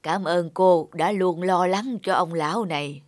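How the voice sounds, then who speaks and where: A man playing a serene, grateful old man in a cartoon, talking calmly and kindly. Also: high voice, unhurried, dry recording, studio quality. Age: older. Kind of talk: cartoon dialogue.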